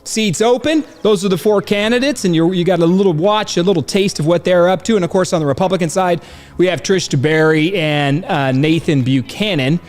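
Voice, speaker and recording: A man's voice: high-pitched, a little fast, in a large hall. A male podcast host with a stuffed-up nose, rambling in casual commentary and laughing as he talks. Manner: chatty, rambling, laughs while talking.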